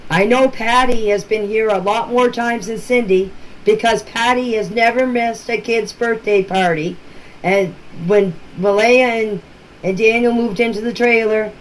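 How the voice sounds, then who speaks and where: A woman's voice: fairly high pitch, fairly even intonation, measured pace. Plain-spoken woman in a noisy voice message. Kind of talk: informal explanatory talk in voice messages. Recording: noisy amateur voice-message recording.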